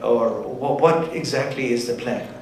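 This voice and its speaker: A man moderating a panel discussion at a community event. He talks haltingly, with many restarts and fillers. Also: mid-range voice, a crowd in the background. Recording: very echoey hall with some noise.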